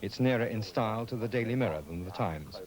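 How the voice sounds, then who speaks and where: A man's voice: on the low side, expressive, quick pace, a crowd in the background. British man narrating a scripted news report.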